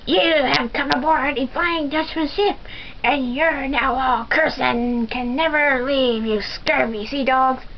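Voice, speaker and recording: A woman putting on a raspy ghost pirate captain. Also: sits high, fairly even intonation, slow, slight background noise. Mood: gruff. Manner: bellowing pirate growl, rolled r's. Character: gruff male ghost pirate captain, menacing. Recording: dull lo-fi game capture with music.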